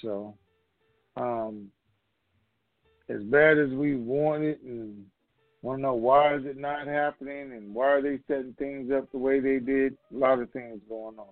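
A man's voice: medium pitch, fairly even intonation, a little slow, no echo, faint music behind. A man hosting a conference call, talking to the group in a casual, rambling, folksy way. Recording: muffled, narrow-band phone conference line.

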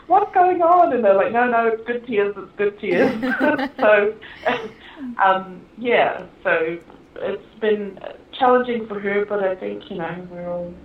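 A woman's voice: mid-range voice, animated, noisy background. Laughing woman on a tinny echoey line. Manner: chatty and halting, many fillers and laughs. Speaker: film director being interviewed. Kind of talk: casual interview conversation.

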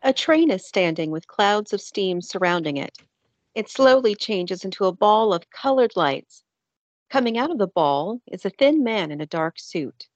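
A woman narrates a radio play from a script. Her voice is medium in pitch, recorded dry and close to the microphone. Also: lively intonation, normal speed, dry recording.

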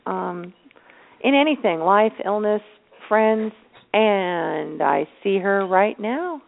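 A woman's voice: medium pitch, animated, slow, creaky. A woman chatting casually as a podcast co-host. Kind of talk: casual chat. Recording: tinny narrow-band phone line.

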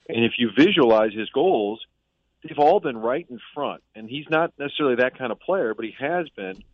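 A man's voice: mid-range voice, no room sound. A man, a sports reporter calling in from a boat, talking through a game preview in casual conversation. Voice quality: conversational, analytical. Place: on a boat in a harbor. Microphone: phone line. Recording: tinny phone line.

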